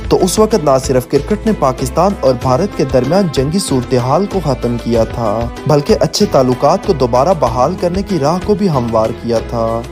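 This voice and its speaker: A man with a Pakistani accent reads scripted news narration in a formal, declarative manner. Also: mid-range voice. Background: background music.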